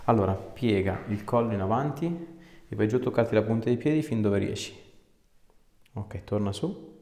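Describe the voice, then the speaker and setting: A man's voice: fairly low pitch, moderate pace, a little mellow. A man, working as an osteopath and giving short, informal instructions and questions during a hands-on consultation. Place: small clinic treatment room, indoors. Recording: echoey small treatment room.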